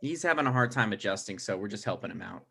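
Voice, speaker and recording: A man's voice: on the low side, animated, quick pace, gravelly, bright, forceful tone, husky, pitched below the performer's own voice, heavily acted. Man playing hesitant, anxious mayoral candidate. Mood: anxious, sheepish. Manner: mild, hesitant. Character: hesitant, anxious thirty-five-year-old man running for mayor. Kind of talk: comedy script table read.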